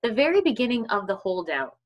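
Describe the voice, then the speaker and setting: A woman of middling pitch chats casually as co-host of a book discussion. She thinks aloud in a conversational way, often restating a phrase before moving on. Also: very animated, fast. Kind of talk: casual chat.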